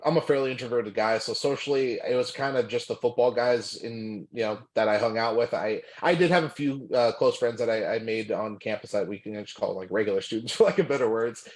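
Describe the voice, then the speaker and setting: A man's voice: medium pitch, brisk, few pauses. A young man from California, a former college football lineman, chatting candidly as a guest from his home, with lots of fillers and restarts. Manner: relaxed and candid, many fillers and restarts, laughs often. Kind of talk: casual interview chat. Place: home room.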